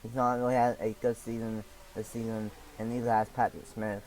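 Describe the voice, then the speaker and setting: A young man commentates a sim race lap by lap, his voice a little raspy from allergies. He talks in halting bursts full of fillers and restarts, over a faint hum of ambience. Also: on the low side, flat delivery, a little mellow, low-quality recording. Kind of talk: play-by-play sim racing commentary.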